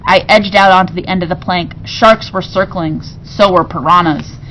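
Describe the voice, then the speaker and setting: A woman's voice: on the low side, fairly even intonation, normal speed, fairly bright tone, slight background noise, low-quality recording. A woman reading a children's storybook aloud expressively, like a teacher to a class.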